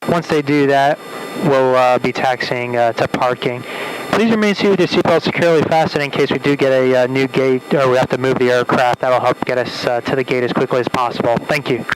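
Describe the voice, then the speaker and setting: A man speaks as a pilot over an aircraft PA, with faint noise behind him. His mid-pitched voice gives routine information haltingly, with plenty of uhs. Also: fairly even intonation, rough recording. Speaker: airline pilot. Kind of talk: cabin announcement.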